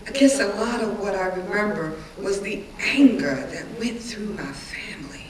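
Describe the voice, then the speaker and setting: A woman performing a dramatic stage reading as a middle-aged witness recalling hard times, pained and resolute, with emphatic, deliberate storytelling. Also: mid-range voice, close to an everyday voice. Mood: sorrowful recollection. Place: live reading venue, indoors. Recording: roomy, distant live-event recording with audience noise.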